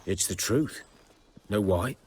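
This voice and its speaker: Slow-spoken, low-voiced rustic huntsman. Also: a little mellow, slight background noise. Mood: wary, plain. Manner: rustic, plainspoken, measured. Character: weary outcast male village hunter, plainspoken and guarded. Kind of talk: video game voice acting.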